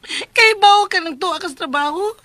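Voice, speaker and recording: A woman's voice: very high voice, brisk, bright, forceful tone, dry recording. An actress playing a clingy, lovesick young woman in a Filipino radio drama, pleading and emotive, with a Filipino accent. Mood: lovesick, pouting.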